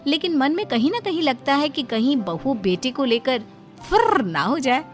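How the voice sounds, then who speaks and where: A woman's voice: sits high, sing-song, normal speed, faint music behind. A woman narrating a cartoon story in a wry, storytelling manner.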